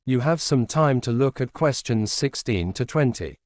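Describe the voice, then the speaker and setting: A man reading scripted test instructions as an announcer, formal, neutral and deliberate. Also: mid-range voice, expressive, dry recording, clean studio sound. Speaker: exam announcer.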